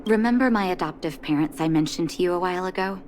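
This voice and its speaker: Gentle, lowish-voiced actress as a young woman doctor. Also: fast talker, slight background noise, natural voice. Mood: hopeful, warm. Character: gentle, composed adult female doctor. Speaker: game character, underground doctor. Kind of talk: acted video game dialogue. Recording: studio voice over game music.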